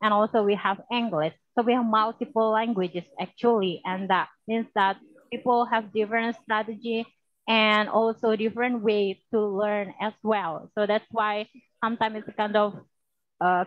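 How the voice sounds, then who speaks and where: A young woman with an Indonesian accent whose first language is Javanese, moderating a video lecture, halting and full of fillers. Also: medium pitch, fairly even intonation, dry recording. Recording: dull lo-fi call audio.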